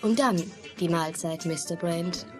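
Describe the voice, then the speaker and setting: A woman's voice: on the low side, unhurried, faint music behind, close to an everyday voice. A woman playing a teasing, self-assured, seductive lady passenger in a German radio play. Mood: amused, teasing. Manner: coy, teasing.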